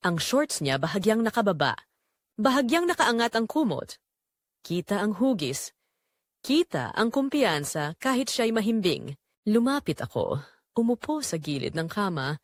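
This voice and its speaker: A woman's voice: medium pitch, no echo, clean studio sound. A woman narrating a romance audio drama as a yearning married mother confessing forbidden attraction.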